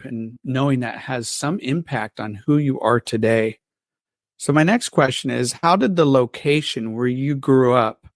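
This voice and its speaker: A man's voice: mid-range voice, dry recording, clean studio sound. Polite male podcast interviewer. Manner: calls on guests by name, polite and thanking. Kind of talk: interview questioning.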